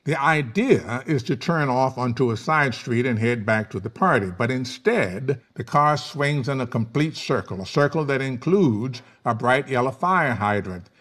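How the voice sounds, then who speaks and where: A man's voice: mid-range voice, expressive, moderate pace, few pauses, a bit bright. A man narrating a screenplay, reading out stage directions at a table read.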